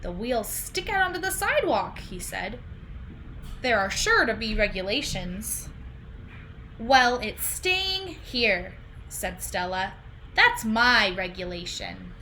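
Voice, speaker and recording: A woman's voice: fairly high pitch, big pitch swings, slow pace, pushed and bright, low-quality recording. A woman in her thirties, a teacher talking simply to young children as she reads a storybook aloud at home. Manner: questioning, explaining simply to children. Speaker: teacher reading a picture book aloud. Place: indoors in a home room. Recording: clear background noise, home video call.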